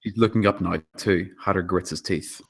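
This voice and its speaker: Deep-voiced man narrating a screenplay. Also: steady tone, moderate pace, creaky, a bit bright, slightly rough. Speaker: narrator reading stage directions. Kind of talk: scripted dramatic screenplay narration.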